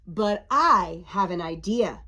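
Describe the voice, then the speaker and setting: A woman voicing a small, clever, encouraging bat in a children's storybook, with emphatic, measured delivery. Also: lowish voice, animated, pushed and bright, voice pushed down, full character voice. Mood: confident. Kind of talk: children's storybook read-aloud.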